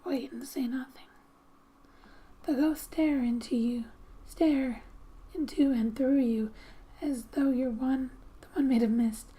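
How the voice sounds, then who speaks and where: A woman narrating a game in soft-spoken ASMR style, often dropping into a breathy whisper, reading gently like a storybook with quiet asides. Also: sits high, fairly even intonation, takes it slowly, pauses often, rough recording. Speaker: gaming ASMR video host.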